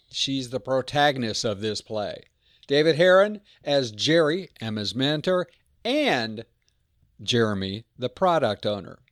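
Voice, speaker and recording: A man's voice: mid-range voice, dry recording, studio quality. A man hosting a podcast, giving an introduction and credits in an emphatic, phrase-by-phrase delivery. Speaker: podcast host.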